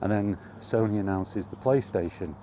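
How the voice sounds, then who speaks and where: A man's voice: on the low side, moderate pace, dark tone, a little hiss or hum. A middle-aged man rambling casually in a personal gaming vlog, reminiscing in an informal way. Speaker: gaming vlogger. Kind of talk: casual rambling chat about retro gaming.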